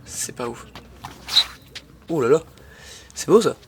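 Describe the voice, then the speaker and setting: A young man with a head cold, casually commenting on his own tennis match in slangy, excitable talk to his viewers. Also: fairly high pitch, takes it slowly, background noise. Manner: slangy, excitable, talks to viewers as "les mecs".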